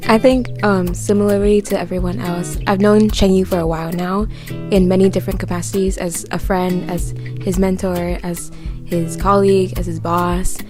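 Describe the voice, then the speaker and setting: A woman who mentors and supervises students, speaking in a measured, reflective way in an interview. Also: fairly low pitch, little pitch movement, over music. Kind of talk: interview testimonial.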